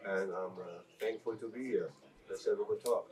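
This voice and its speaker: A man from Germany, an actor, telling his story on a festival panel in a calm way. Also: fairly low pitch, normal speed, heavy background noise. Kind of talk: casual panel talk. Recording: distant mic.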